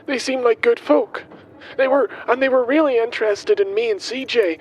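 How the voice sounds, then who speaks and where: Man playing a very high-voiced, rambling, earnest milkman. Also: poor audio. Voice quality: hesitant, halting delivery. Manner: chatty, guileless, wandering off on tangents. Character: rambling, earnest, kindly milkman recording his amended will. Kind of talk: audio drama monologue, spoken as a voice diary. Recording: faint vehicle noise behind.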